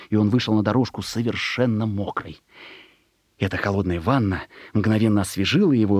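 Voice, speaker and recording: A man narrating a children's story in an expressive, measured, suspenseful dramatic reading. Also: lowish voice, slightly rough, dry recording, clean studio sound.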